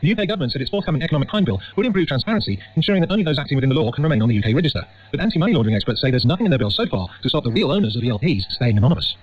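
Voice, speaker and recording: A man's voice: mid-range voice, sing-song, rapid-fire, few pauses, breathy edge. A man delivering a scripted news report as a radio correspondent. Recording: muffled radio, faint background noise.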